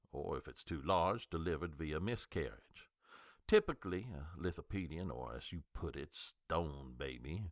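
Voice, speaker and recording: An older male small-town doctor in an audio drama, skeptical and sardonic. The voice is fairly low but bright and lively, close and dry, and he lectures with condescension. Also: no echo, exaggerated voice.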